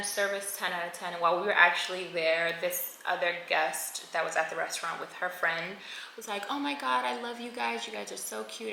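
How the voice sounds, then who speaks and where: A woman's voice: mid-range voice, vocal fry, bright, forceful tone. A woman in her twenties narrating a casual travel vlog in a chatty, slangy way, talking to viewers like friends. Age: 20s. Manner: chatty and slangy, addressing viewers as girl and honey. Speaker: vlogger. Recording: roomy echo with faint noise.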